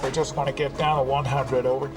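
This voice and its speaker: A man's voice: medium pitch, little pitch movement, fast talker, music in the background, lightly acted. A man putting on an American accent to play a pilot declaring an emergency over the radio. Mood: urgent. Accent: put-on American. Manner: radio procedure calls with hesitant fillers.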